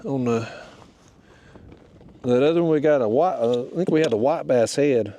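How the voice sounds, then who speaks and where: Kayak fishing host, man, gravelly and mellow voice, sounds stuffed up. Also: medium pitch, normal speed, muffled recording. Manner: chatty, talks to the fish and to viewers. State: stuffed-up, nasal from a cold wind. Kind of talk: casual narration while fishing. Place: outdoors on a kayak on a river.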